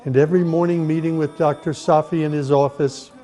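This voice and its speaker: A middle-aged man from the United States, earnestly telling his own life story at an unhurried pace, with pauses and restarts. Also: on the high side, fairly even intonation. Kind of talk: personal testimony, reflective storytelling. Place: Saudi Arabia. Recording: soft music behind the voice.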